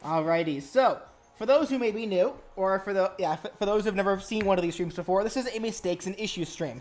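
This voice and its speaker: A woman's voice: medium pitch, darkish tone. A woman hosting a casual live stream, rambling and restarting her words as she talks to chat.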